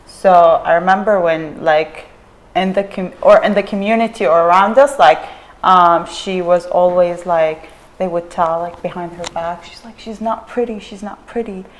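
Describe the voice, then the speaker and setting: A woman's voice: lowish voice, normal speed, a little hiss or hum, rough recording. A young adult woman from Iraq, a panel guest who recalls her childhood in a reflective, hesitant way with many fillers. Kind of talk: casual panel discussion.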